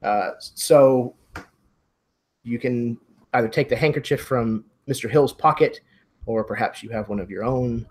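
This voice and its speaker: A man with a medium-pitched, husky voice runs a tabletop mystery game. He narrates conversationally as game master, describing scenes and laying out choices for the players. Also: measured pace, a little mellow.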